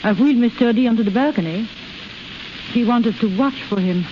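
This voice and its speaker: High-pitched deferential servant, old radio. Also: male voice, brisk. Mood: dutiful. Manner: polite, brief. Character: deferential household attendant announcing callers. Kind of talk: 1930s radio drama acting. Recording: dull, hissy 1930s radio transcription.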